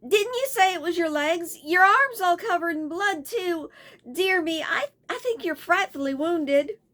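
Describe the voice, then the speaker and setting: A woman's voice: extremely high pitch, animated, measured pace, fairly bright tone, pitched above the performer's own voice, heavily acted. A woman reading a storybook aloud, voicing a distressed young noblewoman who talks in a breathless, pleading way. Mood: anxious.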